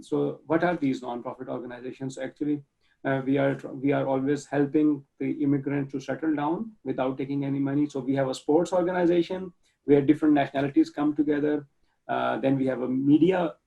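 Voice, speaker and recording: Gravelly Pakistani man on a video call. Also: mid-range voice. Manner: earnest, restarts words while searching for English phrasing. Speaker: guest, project manager and nonprofit volunteer. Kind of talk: casual interview talk. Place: home room, indoors. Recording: dull lo-fi video call audio.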